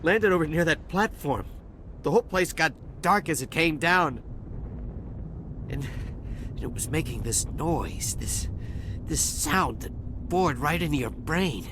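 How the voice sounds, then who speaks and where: An actor playing a shaken, cowardly dockworker in a video game, speaking haltingly and nervously. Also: male voice, fairly high pitch, expressive, background noise. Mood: haunted. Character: shaken, sheepish, cowardly male dockworker survivor. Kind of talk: dramatic game dialogue. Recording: game soundtrack music behind voice.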